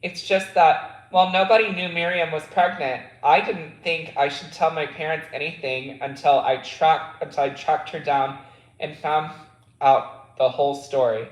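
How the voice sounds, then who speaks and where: Man reading a caring, earnest mother, a bit high-pitched, echoey room. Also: steady tone, measured pace, lightly acted. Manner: plainly read dialogue with small slips. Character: caring mother, middle-aged woman, earnest.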